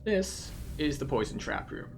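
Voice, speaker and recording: A man's voice: medium pitch, sing-song, unhurried, creaky, background noise. A man giving a methodical, explanatory guided commentary through a video game as the host.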